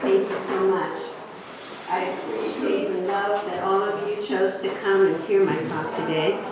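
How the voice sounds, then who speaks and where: A woman's voice: mid-range voice, steady tone, measured pace, very noisy. A woman from Greece, giving a chatty inspirational talk as a guest speaker on a handheld mic, telling her story with light humor. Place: church hall. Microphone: handheld microphone. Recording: echoey hall, picked up from a distance.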